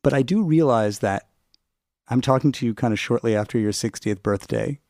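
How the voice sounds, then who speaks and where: A man's voice: medium pitch, moderate pace, a little mellow, no room sound, clean studio sound. A man interviewing a guest on radio, asking thoughtful, probing questions.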